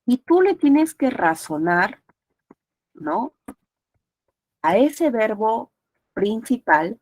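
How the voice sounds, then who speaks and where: Slow-paced congested woman teacher. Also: a little high, sing-song, darkish tone. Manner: didactic, checks understanding often. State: congested nose from a cold. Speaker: teacher of an online class. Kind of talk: explanatory lecture with frequent check-in questions.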